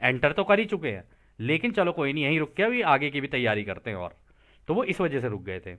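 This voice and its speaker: A man's voice: a little high, sing-song, a little fast, a bit bright. A man presenting a text, reading it aloud and explaining it conversationally, often repeating and rephrasing his points.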